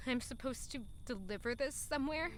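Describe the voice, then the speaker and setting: A woman's voice: sits high, brisk, lightly acted. An actress playing an anxious, guarded young woman with a secret in an audio drama, sounding hesitant. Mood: wary, confused. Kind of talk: audio drama dialogue and inner narration.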